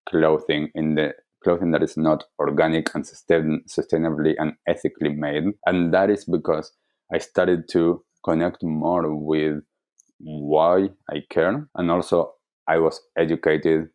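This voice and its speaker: A man with an Argentine accent giving reflective interview answers as a wellness coach, explaining deliberately and earnestly. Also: extremely low pitch, little pitch movement, darkish tone. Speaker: wellness coach, podcast guest.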